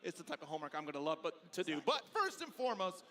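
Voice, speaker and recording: A man's voice: fairly high pitch, sing-song, fast talker, sharp tone. A man hosting live esports commentary in an enthusiastic, effusive way. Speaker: esports commentator and desk host. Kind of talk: live broadcast commentary banter. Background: faint music.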